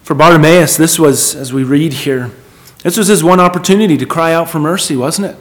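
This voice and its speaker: A man's voice: fairly high pitch, a little fast. A man preaching a sermon in an earnest, emphatic manner, repeating phrases for weight and putting questions to his listeners.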